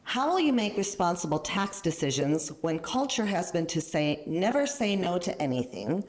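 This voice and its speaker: A woman moderates a debate in a slightly low voice. She asks questions briskly, with lively, rising and falling intonation.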